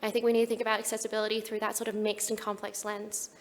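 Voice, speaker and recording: A woman's voice: mid-range voice, monotone, very fast, big-room sound. A young Australian woman giving an earnest, prepared talk at a conference. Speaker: conference speaker.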